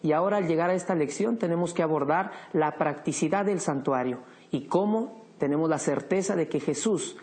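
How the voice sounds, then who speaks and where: A man hosting a Bible study program, leading the discussion in a measured teaching tone. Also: fairly high pitch, darkish tone.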